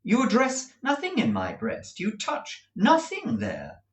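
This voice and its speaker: A man reading aloud, voicing a cold, proud young woman who speaks calmly and without feeling. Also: a little high, highs cut off, pitched above the performer's own voice, full character voice. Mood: cold, measured. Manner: cool, measured, unmoved. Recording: roomy echo.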